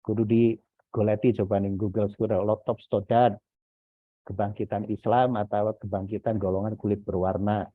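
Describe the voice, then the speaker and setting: Man, university lecturer, informal didactic manner, lowish voice, thin phone-like video-call audio. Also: flat delivery, normal speed, no room sound. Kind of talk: informal online lecture feedback to a student. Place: online Zoom class.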